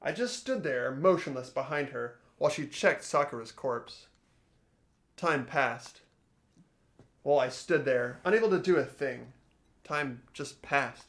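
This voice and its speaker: A man's voice: medium pitch, expressive, a little slow, fairly bright tone. A man playing an earnest, anguished teenage boy who narrates a fan-voiced game dramatically. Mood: shaken, somber.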